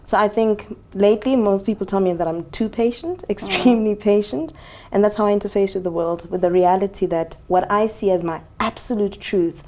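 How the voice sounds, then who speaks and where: Mellow-voiced woman reflecting as a guest. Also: medium pitch, a little fast, slight background noise. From Zambia. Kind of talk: reflective interview conversation.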